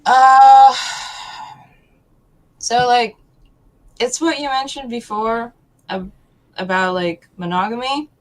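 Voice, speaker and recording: A woman, answering casually and haltingly as a talk show guest. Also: on the high side, steady tone, rough recording. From Poland. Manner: hesitant, halting.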